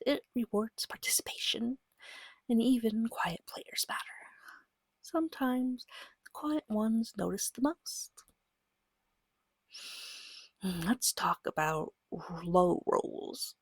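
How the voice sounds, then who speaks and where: A woman giving a soft-spoken ASMR guide as a game master, gentle, patient and reassuring, with a breathy voice that often slips into a whisper, close to the mic. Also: fairly high pitch, dry recording. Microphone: close microphone.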